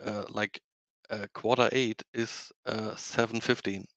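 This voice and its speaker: A German man in his thirties with a Ruhr-area German accent, a guest engineer talking haltingly over a video call on a headset. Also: fairly low pitch, little pitch movement, fairly bright tone, no echo. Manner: hesitant, halting with many restarts. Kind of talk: casual video-call interview. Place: home room, indoors. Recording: dull video-call audio.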